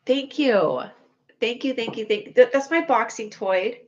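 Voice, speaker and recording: A young woman with a whiny, needy voice, chatting casually to viewers on her livestream, rambling and scatterbrained, putting herself down and now and then breaking into excited squeals. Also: fairly high pitch, a little slow, highs cut off, slight background noise.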